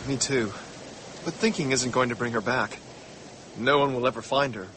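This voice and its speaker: A man's voice: medium pitch, steady tone, moderate pace, noisy background, natural voice. An actor playing a brash, impulsive young man in a radio drama, informal and direct in his talk. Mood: testy.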